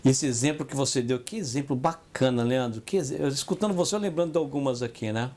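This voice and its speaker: A Brazilian man co-hosting a casual business podcast, telling stories in an animated way and acting out the voices of the people he quotes. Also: medium pitch, quick pace. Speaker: podcast co-host.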